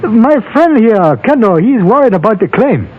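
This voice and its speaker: A man playing a polite, trusting Chinese storekeeper with a Chinese accent in a Western radio drama, speaking in formal, measured phrases. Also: high voice, big pitch swings. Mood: polite, puzzled. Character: courteous, trusting older Chinese storekeeper and mine owner. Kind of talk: old-time Western radio drama acting. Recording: muffled old radio recording.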